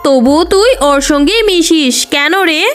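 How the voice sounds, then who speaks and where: Lady doing a greedy snobby cartoon vixen, haughty n scheming, high voice, bit of music behind. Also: measured pace. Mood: scornful scolding. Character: greedy, snobbish, scheming female fox shopkeeper. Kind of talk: children's cartoon dubbing.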